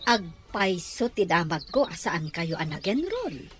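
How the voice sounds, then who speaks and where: A woman's voice: fairly low pitch, sing-song, measured pace, music in the background. A woman playing a gossipy, scolding village aunt in a radio drama, blunt and nagging, with an Ilocano accent.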